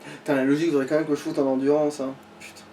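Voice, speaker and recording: A man's voice: a little high, little pitch movement, very fast, mellow. A man chatting casually through a video game, swearing and muttering as he repeats himself. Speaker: let's player. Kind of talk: casual gameplay chat with swearing. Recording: distant mic, faint background noise.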